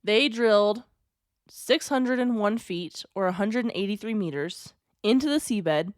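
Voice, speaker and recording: A woman's voice: mid-range voice, expressive, a little slow, no echo. Chatty woman with a dry, close voice. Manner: chatty and digressive, with asides and wry jokes. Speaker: podcast host. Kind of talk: casual storytelling podcast.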